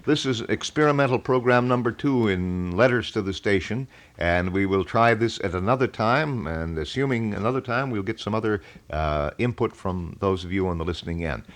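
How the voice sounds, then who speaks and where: A man's voice: lowish voice, expressive, normal speed, few pauses. A middle-aged man hosting a local radio call-in show, chatting casually in a folksy, informal way on a 1980 broadcast.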